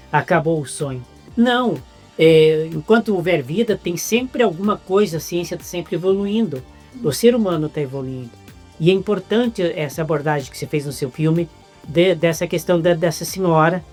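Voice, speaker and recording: A Brazilian man with a Brazilian Portuguese accent, hosting an interview in a casual, halting way with many restarts. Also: a little high, a little mellow. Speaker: interviewer host.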